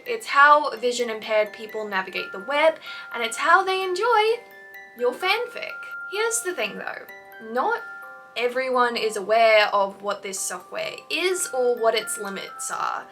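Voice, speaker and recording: A woman's voice: on the high side, animated, unhurried, pushed and bright, distant mic. A woman hosting a scripted instructional video on writing, with articulate, emphatic phrasing. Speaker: host of fan fiction writing advice videos. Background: background music.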